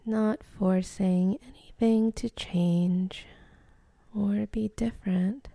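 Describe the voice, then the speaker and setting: A woman leading a guided meditation in a soft, breathy, half-whispered voice, calm and gentle, with long pauses between phrases. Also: medium pitch, no room sound.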